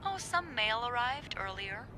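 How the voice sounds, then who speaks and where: An actress playing a sardonic, playful young hotel receptionist in a mystery video game, teasing and expressive in casual conversation. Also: female voice, a little high, unhurried, background noise. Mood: sly, casual.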